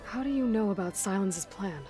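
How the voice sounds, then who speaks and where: An actress playing a direct, skeptical, protective young heroine in a video game drama, asking blunt, probing questions. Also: female voice, medium pitch, animated, a little fast, over music, close to an everyday voice. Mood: wary.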